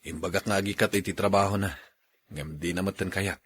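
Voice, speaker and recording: A man's voice: sits low, fairly even intonation, brisk, a little hiss or hum, close to an everyday voice. A man with an Ilocano accent acting and narrating as the storyteller of a radio drama. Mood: troubled, confiding. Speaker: radio drama host and actor.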